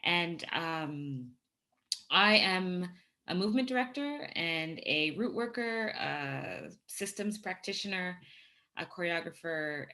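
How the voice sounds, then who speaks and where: A woman with a very low voice and a bright, forward edge introduces herself on a video call panel. She takes her time and speaks slowly and reflectively. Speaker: panelist, movement director.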